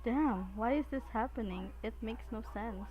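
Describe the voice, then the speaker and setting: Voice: medium pitch, moderate pace, lo-fi sound. A giggly co-streamer chatting casually during a game playthrough, with game music behind. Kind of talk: casual chat.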